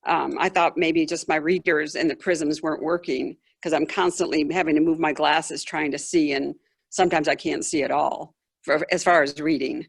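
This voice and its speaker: Woman with a creaky, gravelly edge. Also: deep voice, fairly even intonation, moderate pace. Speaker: patient asking a doctor questions. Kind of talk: conversational account of symptoms. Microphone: video call.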